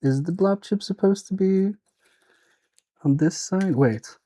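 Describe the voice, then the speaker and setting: A man's voice: high voice, slow pace, dark tone. A man with a Swedish accent, thinking aloud and muttering to himself as he repairs a toy on a live stream. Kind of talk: casual live-stream commentary while repairing.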